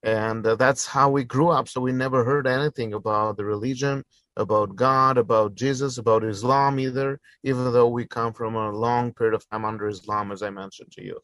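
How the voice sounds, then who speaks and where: A man's voice: mid-range voice, steady tone, fairly quick, a bit bright, no echo. A man in his forties from Albania, speaking English, earnestly telling his story as an interview guest with fillers and restarts. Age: 40s. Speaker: guest, church planter. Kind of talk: interview answers.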